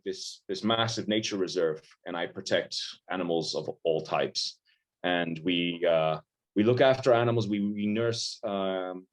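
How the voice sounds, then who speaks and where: A filmmaker from Ireland with an American-sounding accent, chatting casually in an interview over a video call, rambling with lots of restarts. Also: male voice, fairly low pitch. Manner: rambling, frequent restarts and fillers, self-deprecating.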